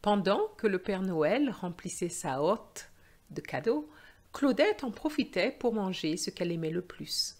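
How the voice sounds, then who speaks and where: Female storyteller for a learners' tale: unhurried, careful phrasing, animated pitch. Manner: deliberate, carefully phrased, expressive. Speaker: language teacher and storyteller. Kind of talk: scripted storybook narration for learners.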